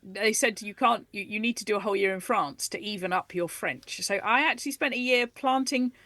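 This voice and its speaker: An animated, enthusiastic woman in her forties speaks as an interview guest in a dry, close studio. Her pitch sits mid-range for a woman, and she laughs easily as she talks. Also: brisk, no echo. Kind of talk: radio interview, conversational. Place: radio studio.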